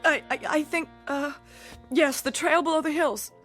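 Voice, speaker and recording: A young actress playing a terrified, fragile eighteen-year-old daughter in a radio drama, halting and emotional. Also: female voice, high-pitched, fairly even intonation, fairly bright tone, faint music behind. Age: young adult.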